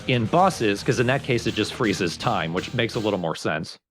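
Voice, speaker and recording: A man's voice: on the low side, expressive, normal speed. A man giving casual, explanatory let's play commentary on a video game. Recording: game music behind commentary.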